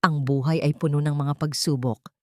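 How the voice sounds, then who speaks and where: Female audiobook narrator, low register, dry close sound, earnest storytelling. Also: steady tone, moderate pace, dry recording.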